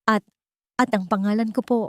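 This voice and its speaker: A woman's voice: fairly high pitch, steady tone, moderate pace, fairly bright tone, dry recording, clean studio sound, pitched above the performer's own voice, natural voice. A woman voicing an anxious, respectful young female caregiver with a polite, hesitant manner in an audio drama. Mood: nervous, hesitant. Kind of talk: dramatic audio story dialogue.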